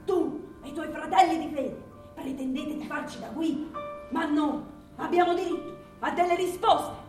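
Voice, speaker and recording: A woman's voice: sits high, normal speed, a little mellow, close to an everyday voice. An actress playing a defiant Afghan mother in a stage drama, speaking in an emphatic, challenging way. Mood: indignant. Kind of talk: theatrical stage drama acting. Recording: echoey distant stage recording with music.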